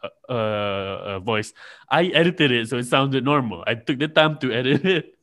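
A man's voice: medium pitch, moderate pace, fairly bright tone. A man co-hosting a podcast over a call, teasing his partner with mock exasperation in casual chat. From Malaysia. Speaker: podcast co-host.

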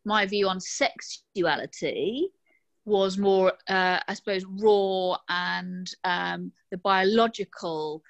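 A woman's voice: mid-range voice, takes it slowly, vocal fry, dull audio. A woman in her forties from London speaks as a podcast panel guest. She is thoughtful and hesitant, filling gaps with "um" and "uh" as she weighs her words. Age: 40s. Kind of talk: casual conversation.

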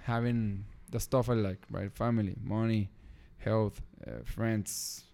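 A man's voice: on the low side, fairly even intonation, slow pace, gravelly, warm tone. A young adult man, a non-native English speaker, talking casually with friends on a podcast. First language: not English. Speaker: podcast participant. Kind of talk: casual conversation among friends.

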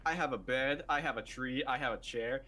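A young man with a mid-pitched voice talks in a crude, brash way as he tells stories and jokes about himself. Steady chatter from a crowd is clearly heard behind him. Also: fairly quick. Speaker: podcast co-host. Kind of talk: casual chat.

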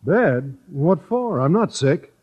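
A man's voice: on the high side, very animated, slow pace, breathy edge, exaggerated voice. An actor playing a mild, naive male teacher in an old American radio comedy, earnest and polite. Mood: cheerful, puzzled. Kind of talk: 1940s-50s radio situation comedy acting. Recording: dull old radio recording, studio audience.

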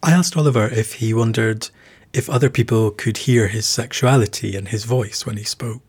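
Male podcast host, calm measured narration, husky low voice.

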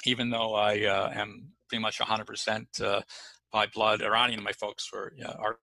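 Man with a gravelly, bright voice. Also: on the low side, normal speed, dry recording, low-quality recording. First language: English. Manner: relaxed, many fillers and restarts. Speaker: podcast guest. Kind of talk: casual interview answers.

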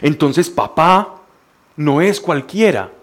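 Man, somewhat high-pitched voice, didactic, emphatic, motivational speaker, repeats phrases for stress. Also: very animated, slow. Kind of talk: motivational talk on family.

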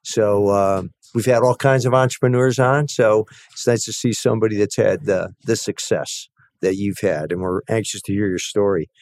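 Low-pitched male podcast interviewer, dry sound. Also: steady tone, moderate pace, darkish tone, no echo. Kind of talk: casual interview.

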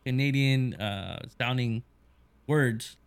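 Man, mid pitch, California host, casual and easygoing with some stammering. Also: takes it slowly, clean tone. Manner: easygoing, chatty, stammers on restarts. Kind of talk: casual conversation.

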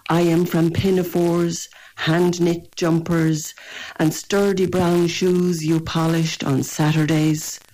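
An older Irish woman, a poet, reading her poems in a measured, gentle way and answering interview questions. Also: fairly low pitch, little pitch movement, low-quality recording. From rural northwest Ireland. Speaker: poet and guest. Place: radio studio or phone line.

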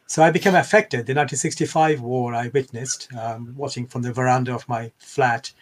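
Older man from Bangladesh, mid-pitched, explanatory. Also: fairly even intonation, a little fast. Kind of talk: reflective interview talk.

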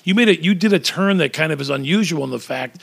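A man interviewing a guest, asking questions in a gentle and hesitant way. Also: a little high, expressive, fast talker, vocal fry, slightly rough.

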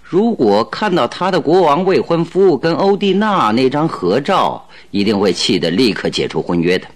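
Animated, jovial detective. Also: male voice, mid-range voice, moderate pace. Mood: explaining, animated. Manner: theatrical, hearty, chuckling delivery. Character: confident, jovial male detective, shrewd and animated. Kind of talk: Mandarin radio drama acting.

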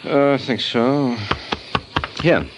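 An actor playing a wry, flippant American private detective in an old radio crime drama. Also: male voice, medium pitch, takes it slowly, background noise, natural voice. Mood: wry, unruffled. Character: wisecracking, unflappable male private detective.

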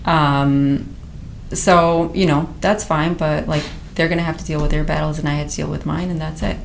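Low-voiced woman, candid and chatty. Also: steady tone, moderate pace, creaky, a little hiss or hum. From United States. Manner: laughs often, candid and chatty. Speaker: immigration attorney, podcast guest. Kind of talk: casual interview conversation.

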